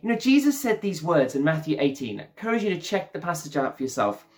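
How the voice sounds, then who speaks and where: A man's voice: on the high side, very animated, fast, darkish tone. A man preaching an enthusiastic, conversational sermon who talks to his listeners as "guys", over faint background music.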